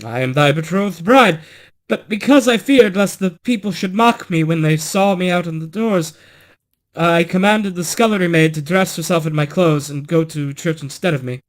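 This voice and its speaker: A man voicing a vain, quick-tempered false bride from a fairy tale, flustered and stammering when challenged. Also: a little high, lightly acted. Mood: defensive.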